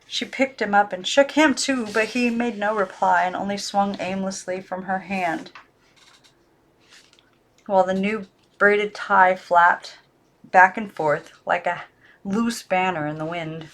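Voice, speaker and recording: A woman reading a children's novel aloud in calm, even narration, now and then correcting herself. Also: medium pitch, a little slow. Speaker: audiobook reader.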